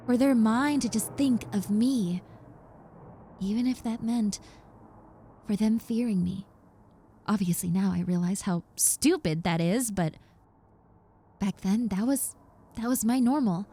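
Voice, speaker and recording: A woman playing an earnest, remorseful reformed stalker roommate in an intimate audio roleplay, speaking softly and hesitantly. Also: mid-range voice. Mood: wistful, intense. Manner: soft, hesitant, halting with repeated words.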